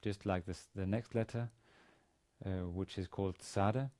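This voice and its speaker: A man in his forties, a native Danish speaker with a Danish accent, giving an instructional lecture in a halting, hesitant manner with repeated words. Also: low voice, steady tone, darkish tone. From Denmark. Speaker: university language teacher. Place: indoor studio with plain grey backdrop.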